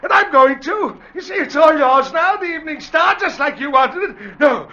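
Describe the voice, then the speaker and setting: An actor playing a guilt-crazed, haunted ship captain, pleading and raving in melodramatic old-time radio drama style. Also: male voice, very high voice, animated, moderate pace, bright, forceful tone, heavily acted. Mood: frenzied. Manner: frantic, rambling, melodramatic. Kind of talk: 1940s-50s radio drama acting. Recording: dull, noisy vintage radio recording.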